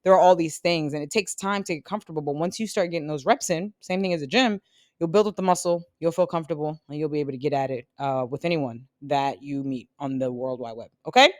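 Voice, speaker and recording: A sales career coach around forty gives a casual, encouraging lesson to viewers, with quick laughs and self-corrections. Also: medium pitch, no echo, clean studio sound. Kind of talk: casual instructional talk to viewers.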